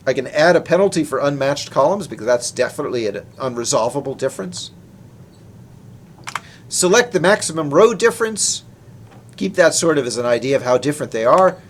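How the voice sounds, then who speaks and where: A man's voice: medium pitch, a little hiss or hum. A man presenting research in a technical lecture, explaining in an informal, conversational way. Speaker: researcher presenting his work.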